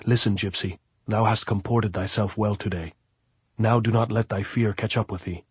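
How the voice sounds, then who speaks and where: A man's voice: on the low side, fairly even intonation, moderate pace, slightly rough, no echo. A man voicing a fierce, blunt middle-aged guerrilla woman who scolds and commands in old-fashioned phrasing in an audiobook. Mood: commanding.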